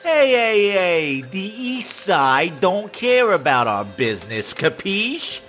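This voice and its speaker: A man's voice: sits high, very animated, takes it slowly, fairly bright tone, faint music behind, heavily acted. An actor playing a cocky male mob gambler from Chicago who talks in tough-guy slang. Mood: defensive. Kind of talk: comedy audio drama.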